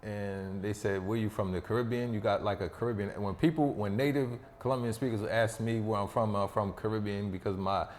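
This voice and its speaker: Confident storyteller with long reverb. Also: male voice, fairly low pitch, fairly even intonation, brisk, hardly any pauses, slight background noise. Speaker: podcast guest. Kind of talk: casual interview chat.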